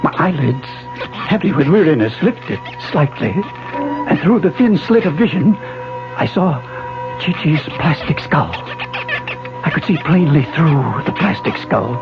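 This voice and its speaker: An actor playing a wounded, dying man narrating his ordeal in a 1970s radio drama, deliberate, intense and suspenseful. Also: a little high, animated. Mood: weary, hushed suspense. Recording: dull 1970s radio broadcast, music behind.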